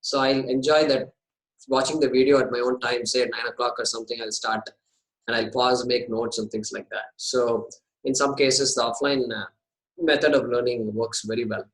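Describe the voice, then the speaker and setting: A man running an online course orientation from home, talking haltingly with many pauses and restarts over a video call. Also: mid-range voice, steady tone, low-quality recording. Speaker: course organizer and host. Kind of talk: casual video call talk. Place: home in India.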